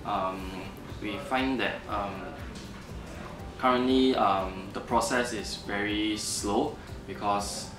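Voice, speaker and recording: Low-voiced engineer, roomy. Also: male voice, steady tone, takes it slowly. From Singapore. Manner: hesitant, with many fillers. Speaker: software engineer. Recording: roomy, distant mic. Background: background music.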